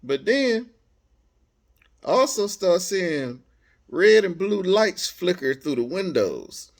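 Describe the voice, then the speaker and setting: An American man telling a personal story casually, in animated, slangy speech, acting out bits of dialogue as he goes. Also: high voice, takes it slowly, vocal fry.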